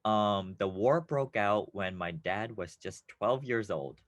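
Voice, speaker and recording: Man, teacher, playful, upbeat, low voice, dry sound. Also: slow pace, dry recording, highs cut off. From United States. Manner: upbeat, playful, with bursts of excitement. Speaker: teacher presenting a class video. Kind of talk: friendly classroom lesson.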